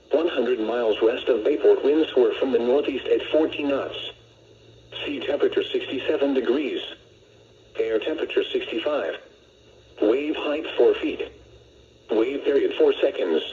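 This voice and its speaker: An American man's synthetic-sounding voice reading a scripted weather report as a radio announcer, measured and impersonal. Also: medium pitch. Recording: muffled lo-fi weather radio broadcast.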